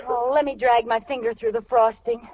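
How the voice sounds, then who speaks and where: A woman playing a lively, hungry dieting housewife with exaggerated comic timing in a vintage radio sitcom. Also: on the high side, fairly quick, natural voice. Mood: pleading. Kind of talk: 1940s-50s radio sitcom acting. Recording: muffled, hissy vintage radio recording.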